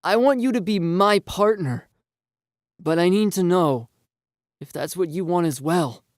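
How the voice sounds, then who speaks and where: A man acting out a pleading, high-strung teenage boy. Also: measured pace, dry recording, studio quality. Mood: desperate pleading. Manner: earnest, blurting.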